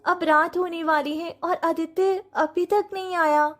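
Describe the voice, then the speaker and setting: A woman voicing a kind, earnest young village wife in an animated moral story. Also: very high-pitched, measured pace. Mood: gentle, concerned.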